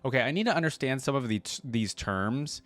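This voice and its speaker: A man's voice: mid-range voice, lively intonation, a little fast, a bit bright. A man hosting a reaction video, reading lore aloud and commenting in an informal, exclamatory way. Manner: informal, filler-heavy, exclamatory asides.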